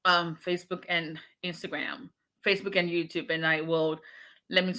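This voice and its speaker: A woman talks casually on a livestream, greeting viewers and urging them to keep faith. Her voice is bright and forceful, with a preacher's lift when she encourages. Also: fairly low pitch, level tone, normal speed. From Nigeria. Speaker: immigration attorney.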